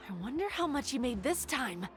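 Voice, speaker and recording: An eager village gossip from a video game. The voice is high and swings in a lively way, with faint music behind it. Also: female voice, a little fast. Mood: curious. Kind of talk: video game character acting.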